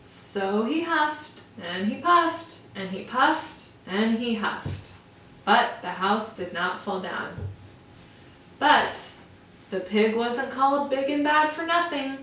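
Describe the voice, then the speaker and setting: A woman teacher reading a storybook aloud to young children, with slow, expressive, drawn-out emphasis. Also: on the high side, slight background noise. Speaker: first-grade teacher. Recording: roomy echo, from far off.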